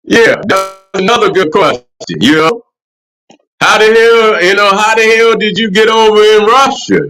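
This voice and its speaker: High bright-voiced profane livestream host. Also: male voice, steady tone, moderate pace, low-quality recording. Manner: profane, rambling, repeats "you know what I'm saying". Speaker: livestream host answering chat. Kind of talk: casual livestream commentary.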